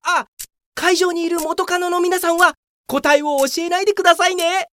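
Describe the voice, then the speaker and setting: A woman's voice: extremely high pitch, fairly even intonation, normal speed, a bit bright, no room sound. A woman playing a brazen, oblivious, self-satisfied veteran office worker with a pompous manner in a comedic radio drama. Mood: brazen showmanship. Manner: pompous, grandly self-promoting.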